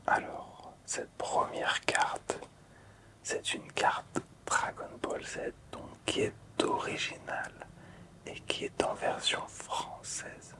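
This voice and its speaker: Whispering man, soft ASMR host. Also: takes it slowly. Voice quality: breathy, unvoiced whisper. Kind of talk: whispered ASMR presentation of collectible cards.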